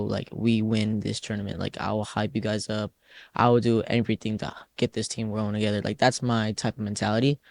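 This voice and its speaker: A man's voice: fairly low pitch, gravelly, a little mellow. A teenage boy, a youth soccer player, answering interview questions in a loose, rambling way full of "like" fillers. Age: teens.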